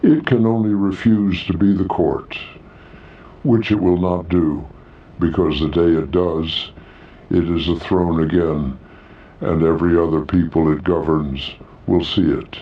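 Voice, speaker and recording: Casting: ancient alien court elder played by a man, very low, grave and formal, muffled echoing sound, audio story. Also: steady tone. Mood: solemn, deliberate. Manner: formal, deliberate, weighty phrasing. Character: very old, solemn alien court senior, measured and formal. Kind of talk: scripted audio drama character. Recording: roomy, muffled and distant.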